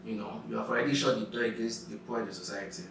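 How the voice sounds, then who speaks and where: A man's voice: fairly low pitch, steady tone, rapid-fire, creaky, a crowd in the background. A young man, a guest in a casual discussion, explaining his opinion step by step and defining his terms. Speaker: guest on a youth discussion show. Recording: echoey room, distant mic, faint noise.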